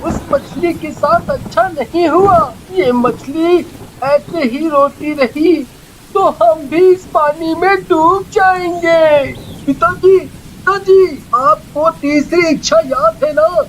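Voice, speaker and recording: A woman's voice: extremely high pitch, slow pace, a little hiss or hum. A woman playing the sensible, earnest child of a poor fisherman in a children's cartoon story, with a pleading manner. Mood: alarmed pleading.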